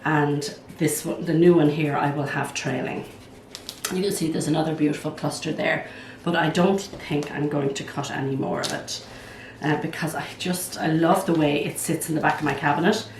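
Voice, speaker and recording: An older woman with a hoarse, croaky voice from a cold, chatting casually as a plant vlog host at home while she works, thinking aloud. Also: deep voice, fairly even intonation, moderate pace, a little hiss or hum. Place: at home, indoors. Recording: roomy sound from a distance.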